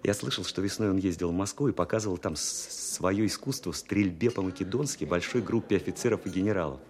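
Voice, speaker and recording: An actor playing a thoughtful army officer who narrates in the first person in a radio drama, in a measured storytelling manner. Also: male voice, low-pitched, darkish tone, close to an everyday voice. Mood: reflective, admiring. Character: thoughtful army officer recounting his wartime memories.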